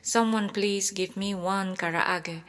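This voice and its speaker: A woman reading scripted translations for learners in a measured, instructional way. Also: mid-range voice, fairly even intonation, fairly bright tone, long reverb tail, soft background music. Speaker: translation reader.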